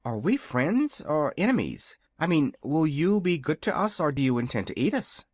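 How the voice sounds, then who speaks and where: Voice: medium pitch, sing-song, moderate pace, dry recording, clean studio sound, voice pushed up. A reader doing a plucky young farm girl. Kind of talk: audiobook character voice.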